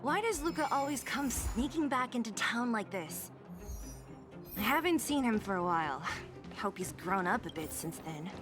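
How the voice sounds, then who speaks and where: A woman's voice: on the high side, expressive, normal speed, natural voice. An actress playing a level-headed woman fighter who sounds dry and exasperated, with frequent sighs. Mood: wistful. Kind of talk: video game voice acting. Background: game music.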